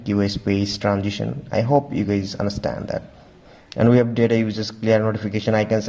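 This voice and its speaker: A man giving a casual, halting walkthrough as a tech reviewer, often repeating stock phrases. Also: lowish voice, warm tone. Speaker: tech reviewer, video host.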